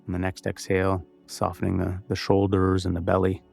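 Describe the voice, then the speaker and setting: Low-pitched, gravelly male meditation guide. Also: monotone, fairly quick, darkish tone, soft background music.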